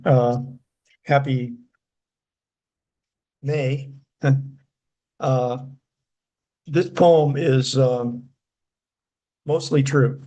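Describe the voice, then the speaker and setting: Slow, higher-voiced man reciting poetry. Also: a little mellow. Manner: deliberate, measured phrasing. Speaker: poet reading own work.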